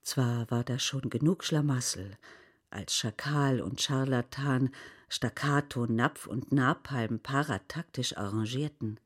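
An actor in an experimental radio play playing a declaimer of absurd, rhetorical verse. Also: male voice, medium pitch, measured pace, breathy edge. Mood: declamatory.

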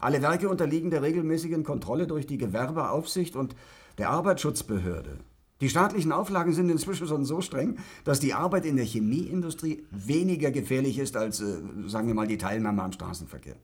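An actor playing a condescending, defensive industry spokesman in a radio play panel discussion, with a husky, emphatic delivery. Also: mid-range voice, a little mellow. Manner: emphatic, condescending, brushing off objections.

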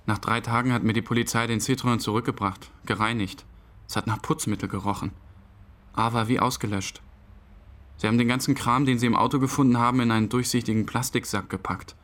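A bereaved boyfriend played by a male actor, numb and bewildered with a wry edge. He speaks in an average-pitched voice, as if interviewed in a radio play. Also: steady tone, brisk, fairly bright tone, natural voice. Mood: subdued, wry. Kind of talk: interview-like radio drama narration.

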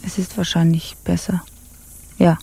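Creaky, imperious pirate captain. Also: female voice, fairly low pitch, flat delivery, mellow, slight background noise. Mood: cool, teasing. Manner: commanding, teasing, deliberate. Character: imperious, provocative female pirate ship captain. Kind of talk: theatrical radio drama acting.

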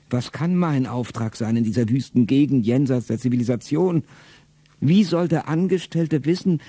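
A middle-aged man speaking a first-person radio play monologue in a low, intimate way that often sinks to a whisper. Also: warm tone, slightly rough, no room sound.

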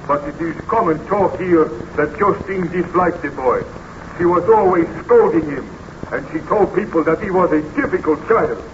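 A man's voice: on the high side, moderate pace, close to an everyday voice. A man playing a stern village burgomaster in a 1930s radio serial, blunt, officious and formal in manner. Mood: stern, suspicious. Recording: noisy, muffled vintage 1930s radio recording.

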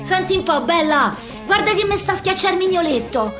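A woman's voice: extremely high pitch, animated, brisk, over music. An actress playing a brash, foul-mouthed young working-class woman with a Roman accent, in a combative, slangy theatrical monologue. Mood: indignant. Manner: slangy, combative, rambling street talk. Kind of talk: theatrical comic monologue for radio.

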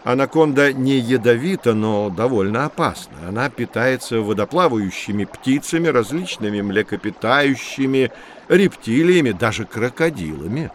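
A man's voice: mid-range voice, expressive, a little hiss or hum, natural voice. A man playing a knowledgeable, patient nature guide who explains things in a children's educational audio drama. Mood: explaining. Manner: measured, didactic.

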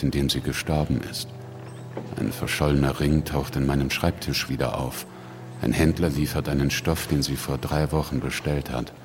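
An actor playing a bitter, grieving widower in a radio drama, heavy and subdued, with a gravelly voice. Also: male voice, extremely low pitch. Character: bitter grieving widower, powerful revolutionary leader. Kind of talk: German radio drama acting. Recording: music behind the voice.

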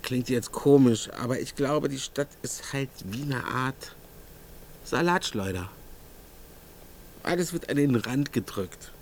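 A pondering city dweller in a radio drama. He speaks slowly and hesitantly in a conversational manner, with faint ambience behind him. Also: medium pitch, a little hiss or hum. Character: musing, word-searching city dweller.

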